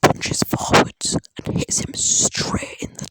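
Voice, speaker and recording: Hushed whisperer, rambling and halting. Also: no echo, poor audio. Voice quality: breathy unvoiced whisper. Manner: rambling, halting, acting out characters' dialogue in passing. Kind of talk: whispered rambling fan storytelling, improvised. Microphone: close to a phone.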